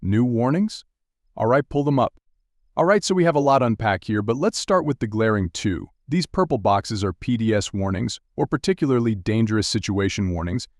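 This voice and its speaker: A man playing a TV meteorologist who guides viewers through severe storms with steady, urgent, direct instructions in a live broadcast style. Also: medium pitch, no room sound, clean studio sound, close to an everyday voice. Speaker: TV weather broadcaster.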